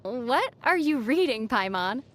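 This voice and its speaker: A woman playing a young female traveler in a video game, gently teasing and reassuring, with a playful, dry manner. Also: on the high side, sing-song, unhurried, noisy background. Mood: curious, calm. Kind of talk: video game character dialogue.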